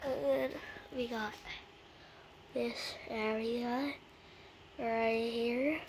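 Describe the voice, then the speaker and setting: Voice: medium pitch, steady tone, very slow. A casual, rambling amateur vlogger speaking informally inside a house, with the voice of a woman or youngster as heard. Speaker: amateur video creator. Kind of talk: casual handheld vlog with improvised skit. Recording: noisy amateur home recording.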